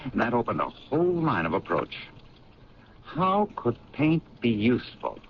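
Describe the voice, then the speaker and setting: An actor playing an eager police lab sergeant who explains his theory with halting enthusiasm in a 1970s radio drama. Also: medium pitch, huge pitch swings. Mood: explaining. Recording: dull lo-fi radio broadcast with faint hiss.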